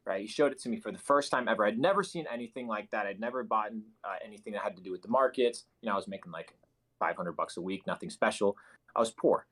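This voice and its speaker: Lowish-voiced young man, American accent. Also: fairly bright tone. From Argentina. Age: 20s. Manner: informal, sweary. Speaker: entrepreneur, guest. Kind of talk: casual personal storytelling.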